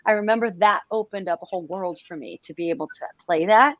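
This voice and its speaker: A woman's voice: mid-range voice, fast talker, no echo. Danish-born woman, dry dull recording. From Denmark. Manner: relaxed, laughs often, trailing asides. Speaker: guest, country singer. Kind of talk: casual interview chat.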